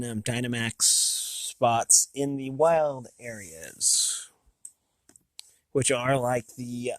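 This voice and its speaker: An American man hosting a casual, unscripted review, thinking aloud in a halting, rambling way with a slightly raspy voice. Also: medium pitch, dry recording, studio quality. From United States. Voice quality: slightly raspy from long talking. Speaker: video host.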